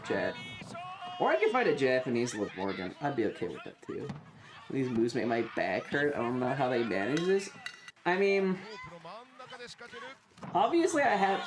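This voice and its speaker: A man gives loose, casual commentary on a live stream. His voice sits at a medium pitch, and he talks in slang with plenty of swearing and an excitable manner. Noise is clearly audible in the background.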